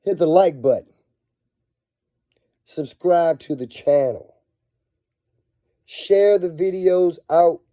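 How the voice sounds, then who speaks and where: A man with a scratchy, raspy voice from a cold talks casually to his viewers about money. He drags his words out slowly, dropping to low murmurs and then rising in excited bursts. The sound is dry and close but somewhat dull, like a lo-fi home recording. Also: no room sound. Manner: slow, drawn-out delivery that swings between low murmurs and excited bursts. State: hoarse from a cold. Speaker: finance vlogger. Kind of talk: casual video monologue.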